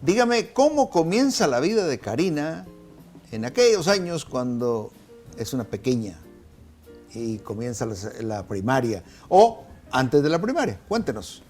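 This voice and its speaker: A man's voice: mid-range voice, very animated, measured pace, a little mellow, faint music behind. Playful Salvadoran man hosting. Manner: probing, playful, prompts with short backchannels. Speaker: host. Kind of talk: casual interview chat.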